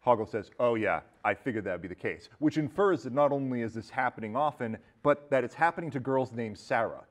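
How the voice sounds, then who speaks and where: A man hosts a casual movie discussion. He speaks conversationally in an average-pitched voice, working through ideas with hedging asides as he goes. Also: fairly quick. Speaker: podcast host.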